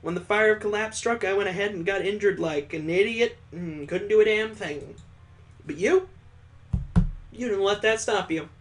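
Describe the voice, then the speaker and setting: A man's voice: sits high, normal speed, lightly acted. A man voicing a jovial, sentimental old father-in-law, hearty and earnest, in a visual novel read aloud.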